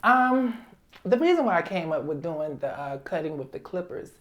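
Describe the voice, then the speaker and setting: A woman talks about her work as a guest on a chat show. Her voice sits a bit low, and she stresses her points by repeating them. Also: normal speed, dull audio. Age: 50s. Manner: emphatic, repeats herself, talks with relaxed confidence. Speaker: guest hairstylist. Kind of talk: casual interview chat.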